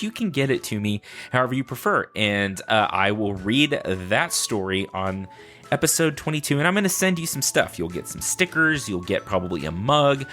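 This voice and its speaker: A man's voice: lowish voice, pushed and bright. A man hosting a casual solo podcast, chatting informally and playfully. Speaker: podcast host.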